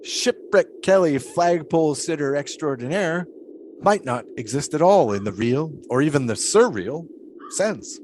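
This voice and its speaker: Grand pompous 1920s flagpole sitter, swoopy voice, radio-drama feel. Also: male voice, fairly high pitch, lively intonation, measured pace, darkish tone, slight background noise. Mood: philosophical. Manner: grandiose, musing, theatrical. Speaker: flagpole sitter being interviewed. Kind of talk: period audio drama acting.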